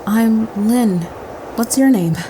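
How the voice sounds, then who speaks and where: A woman's voice: fairly high pitch, slow pace, warm tone, slight background noise. A woman acting a friendly, forthright young woman in an audio drama, speaking in an everyday, approachable way. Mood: grateful, friendly. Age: young adult. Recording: music bed behind dialogue.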